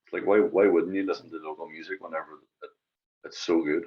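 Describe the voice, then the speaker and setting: A man's voice: low-pitched, steady tone, quick pace, highs cut off. A Northern Irish man co-hosting a music podcast in casual chat, often repeating phrases for emphasis. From Northern Ireland.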